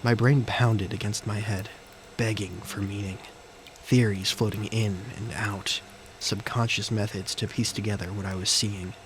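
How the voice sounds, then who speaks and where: Male narrator, first-person thriller drama, lowish voice, measured dramatic phrases, slight background noise. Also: steady tone. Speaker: narrator and character of an audio story. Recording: faint background noise and ambience.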